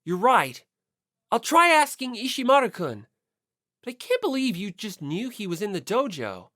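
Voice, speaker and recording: An audiobook reader voicing a polite, earnest ordinary high school boy who speaks tentatively. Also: very animated, normal speed, fairly bright tone, no room sound, clean studio sound. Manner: tentative, mild. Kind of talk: audiobook character voice.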